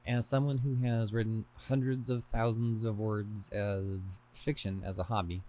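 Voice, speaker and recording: A man's voice: fairly low pitch, little pitch movement, measured pace, warm tone. A man hosting a podcast and reading aloud from a book he wrote, in a scripted style with wry, self-deprecating touches. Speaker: podcast host and author.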